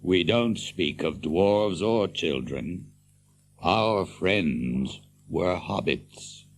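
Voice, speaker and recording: An actor playing a gruff, loyal dwarf warrior in a fantasy radio drama, speaking deliberately. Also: male voice, low voice, animated, slight background noise, heavily acted. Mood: earnest.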